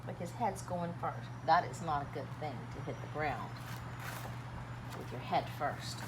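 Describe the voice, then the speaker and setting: A woman reading a children's picture book aloud like a teacher, dramatic and expressive, chatting and asking questions of young listeners. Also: low-pitched, unhurried. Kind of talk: animated storytime read-aloud with commentary and questions. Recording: clearly noisy background.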